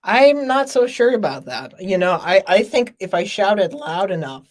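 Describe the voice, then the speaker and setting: Loud, giggly Minnesota stream host. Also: male voice, high-pitched, expressive, measured pace. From Minnesota, USA. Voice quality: loud, laughs often. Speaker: podcast host. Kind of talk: casual live-stream chat.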